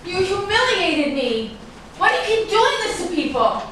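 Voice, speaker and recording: A woman's voice: extremely high pitch, very animated, moderate pace. A woman playing a wronged, defiant partner in a staged drama, accusing and emphatic, in a very echoey room. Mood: hurt, accusing. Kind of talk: staged play reading, dramatic dialogue. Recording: very echoey room, distant mic, faint noise.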